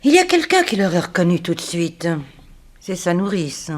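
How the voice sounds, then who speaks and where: An actress playing a proud, composed woman under suspicion in a 1960s French radio drama, speaking in a formal, measured, self-controlled way. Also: on the low side, sing-song. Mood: assured. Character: composed, proud female companion under suspicion, coolly reasoning.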